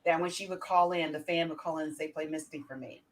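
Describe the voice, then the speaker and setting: A mature woman with a slightly low voice talks casually as a panelist. She is emphatic and likes to restate her point for effect. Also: flat delivery, fast, crowd noise, low-quality recording. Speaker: panelist on a talk show. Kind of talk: casual chat.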